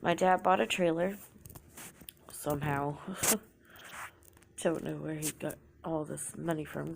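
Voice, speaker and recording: Young woman w a cold, low gravelly voice, soft and confessional, keeps dropping to a whisper. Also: slight background noise. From Oklahoma, United States. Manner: soft-spoken, often trailing into a whisper. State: sore throat from a cold. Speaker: podcaster telling her life story. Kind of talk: casual confessional storytelling.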